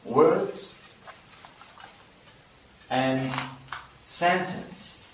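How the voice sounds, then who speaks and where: Slow-paced Vietnamese-accented man teaching, echoey room. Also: medium pitch, a little hiss or hum. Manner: deliberate, explanatory, pausing between phrases. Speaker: English teacher. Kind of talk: instructional video lesson. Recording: roomy, echoing room sound.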